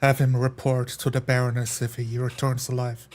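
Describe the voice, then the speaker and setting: A man playing a tabletop roleplaying game, describing his actions in a halting, deliberate way. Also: mid-range voice, completely flat delivery. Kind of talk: improvised roleplay narration.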